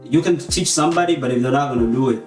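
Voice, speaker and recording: Male, 20s. Informal, slangy interviewee, slightly low voice, roomy sound. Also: fast. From born in Tanzania to Burundian parents, grew up in the United States from age seven. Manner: informal, slangy, mixes some Swahili into English. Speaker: musician and producer being interviewed. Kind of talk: casual interview chat. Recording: roomy echo with faint music behind.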